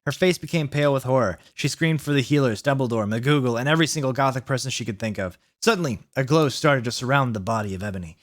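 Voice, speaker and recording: A man's voice: medium pitch, quick pace, hardly any pauses, no echo, studio quality. A man hosting a read-aloud of fan fiction, delivering it theatrically with exclaimed quotes and mocking asides of his own. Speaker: host reading fan fiction aloud as a challenge.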